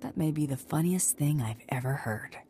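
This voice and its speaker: A woman's voice: low voice, expressive, moderate pace, mellow, a little hiss or hum. A woman playing a tough, cool bounty hunter in a video game, with a dry, sardonic delivery.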